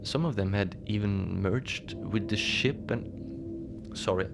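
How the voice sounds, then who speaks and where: A low male voice with a gravelly fry, over a clear bed of ambient noise. An actor plays a lonely, unbothered facility manager at a polar research station, telling odd things in a hesitant, understated way for a cozy horror podcast. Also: takes it slowly. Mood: confiding, wry. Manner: hesitant, understated, deadpan, trailing off. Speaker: narrator of a fiction podcast. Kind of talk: cozy horror audio-drama monologue, radio log. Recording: noisy ambient bed behind the voice.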